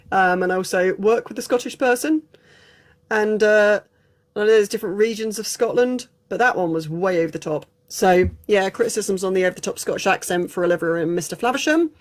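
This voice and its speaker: Chatty English woman reviewing films. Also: medium pitch. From England. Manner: chatty, frank and digressive. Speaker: film reviewer, channel host. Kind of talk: casual unscripted film review.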